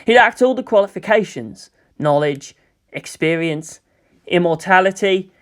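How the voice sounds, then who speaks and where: A man's voice: sits high, lively intonation, measured pace. Man narrating a scripted story.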